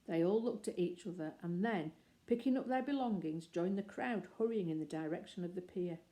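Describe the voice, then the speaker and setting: A woman reading a children's story aloud in a gentle, measured way. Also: fairly low pitch. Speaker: narrator.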